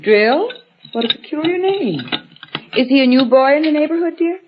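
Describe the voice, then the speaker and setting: A woman's voice: sits high, expressive, normal speed. A woman playing an indulgent but mildly stern mother in an old radio drama. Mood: amused, chiding. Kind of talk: mid-century American radio drama acting. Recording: muffled, noisy old radio recording.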